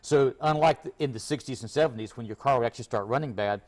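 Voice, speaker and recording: A man's voice: mid-range voice, fast talker, a little mellow. A man speaking as a guest expert in a casual interview, explaining things in a plain-spoken, folksy way. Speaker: auto repair shop owner, guest expert.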